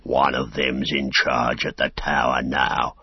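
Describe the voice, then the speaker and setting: A man's voice: sits low, takes it slowly, creaky, slightly rough, dry recording, lo-fi sound, heavily acted. A man voicing a big, brutish male orc soldier in a storybook, snarling threats like a menacing bully. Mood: threatening.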